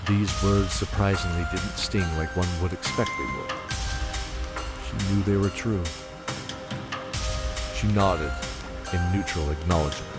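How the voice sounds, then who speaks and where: Deep-voiced man narrating fantasy. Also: steady tone, unhurried, pauses often, loud music behind the voice. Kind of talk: scripted fantasy storytelling narration.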